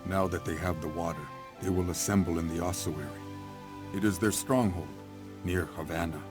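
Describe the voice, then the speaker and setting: An actor playing a seasoned, wary and skeptical military man in a video game cutscene, measured and dry. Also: low voice, steady tone, lightly acted. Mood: grave, dry. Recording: game music under the voice. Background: music.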